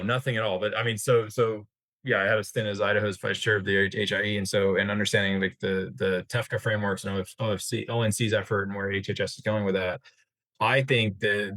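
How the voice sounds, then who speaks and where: A man's voice: fairly low pitch, a bit bright, no room sound. An American man speaking as an expert guest in an informal discussion, wordy and often rephrasing himself mid-sentence. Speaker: interview guest, healthcare industry executive.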